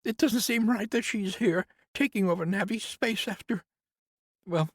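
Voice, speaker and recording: A man's voice: high voice, normal speed, no echo, studio quality, pitched above the performer's own voice. A man voicing a grieving woman in her fifties, an old family nurse, in a tearful, wavering voice for an audiobook. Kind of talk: audiobook character voice.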